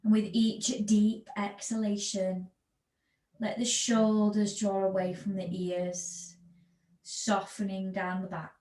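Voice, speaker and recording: A woman yoga teacher giving guided relaxation instructions in a soothing, unhurried manner with long pauses between phrases. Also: mid-range voice, flat delivery, warm tone. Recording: echoey room with background noise.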